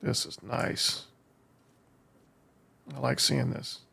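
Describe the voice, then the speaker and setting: An American man streaming a game, giving casual live commentary in a halting way as he thinks aloud, with a gravelly, husky voice. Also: mid-range voice, fairly even intonation, pushed and bright. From United States. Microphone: streaming desk microphone.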